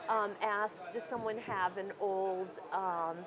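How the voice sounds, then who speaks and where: A woman's voice: medium pitch, fairly even intonation, slow, crowd noise. A woman who runs a small credit union, chatting in a conference interview, self-deprecating and full of fillers. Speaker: credit union CEO, guest. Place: busy conference hall. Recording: noisy conference floor.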